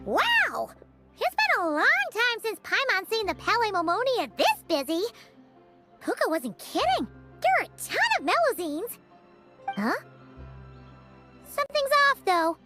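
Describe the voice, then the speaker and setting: A woman's voice: huge pitch swings, a little slow, long pauses, over music, full character voice. A woman voicing a small, cute, childlike female fairy creature in a video game, cheerful and earnest, with exclamatory delivery. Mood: curious. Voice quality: high, bright, childlike.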